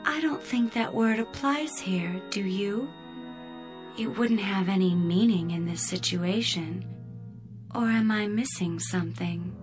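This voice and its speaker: A woman playing a devoted, sultry spaceship computer in a radio drama, breathy, coaxing and intimate. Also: mid-range voice, a little slow, over music, natural voice. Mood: seductive, soothing. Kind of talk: dramatic radio play acting.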